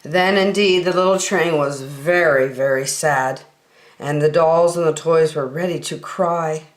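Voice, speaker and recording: A woman's voice: low-pitched, unhurried. A woman reading aloud expressively to young children as a storyteller, with emphatic, encouraging phrasing, recorded in an echoey room.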